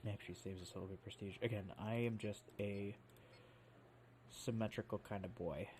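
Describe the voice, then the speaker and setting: Low mellow-voiced man rambling over gameplay. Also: steady tone, a little fast, a little hiss or hum. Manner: rambling, self-correcting, lots of fillers. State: sniffly from allergies. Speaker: let's play host. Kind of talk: casual gameplay commentary.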